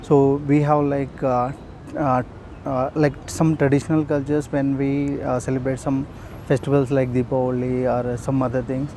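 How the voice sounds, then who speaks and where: Indian man, young adult, halting street-interview answers, faint traffic behind. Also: mid-range voice, little pitch movement, warm tone. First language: Urdu. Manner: hesitant, many fillers.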